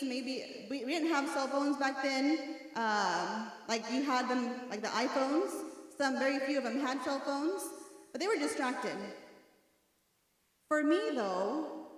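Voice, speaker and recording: High-voiced woman in a big echoing hall. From Mexico, raised in East Texas. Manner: earnest storytelling, addresses audience directly, shifts between English and Spanish. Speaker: religious sister giving a talk. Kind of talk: personal testimony to a youth congress. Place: large hall at a youth congress. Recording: echoey hall, voice from far off.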